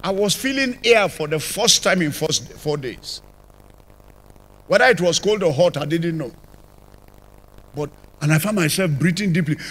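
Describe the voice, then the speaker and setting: An older man with a Nigerian accent, telling his conversion testimony as a church apostle, with sudden rises and drops in loudness, on a handheld mic in a large church. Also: high voice. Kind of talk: testimony sermon. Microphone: handheld microphone.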